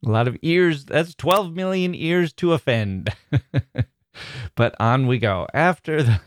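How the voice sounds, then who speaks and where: A man hosting a literature podcast, chatting casually and reading out a listener's email, self-deprecating and quick to chuckle. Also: fairly low pitch, no room sound, clean studio sound. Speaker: podcast host.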